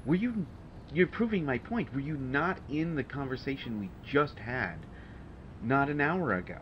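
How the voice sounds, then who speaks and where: A man's voice: medium pitch, lively intonation, normal speed, natural voice. A man playing a testy, sardonic supervillain genius in an audio roleplay, speaking in a dry, haughty, hesitant way with exasperation. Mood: grudging gratitude. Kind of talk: intimate audio roleplay monologue to a listener. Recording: dull, noisy home recording.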